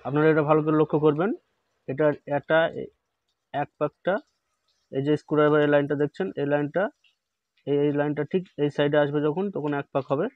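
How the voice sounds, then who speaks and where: A man hosting a hands-on repair tutorial, explaining each step slowly and repeating instructions, recorded on a phone indoors. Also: fairly high pitch, little pitch movement, narrow, tinny audio. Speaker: repair channel host. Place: indoor workbench.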